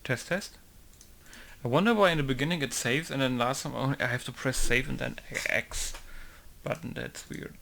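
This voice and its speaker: A young man talks loosely over his game, drifting between thoughts and letting sentences fade to a mumble. His mid-pitched voice carries a lot of gravelly fry. He sounds unhurried and offhand throughout. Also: slight background noise. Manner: rambling, laid-back, often trails off quietly. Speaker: video game modder. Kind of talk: casual commentary over gameplay.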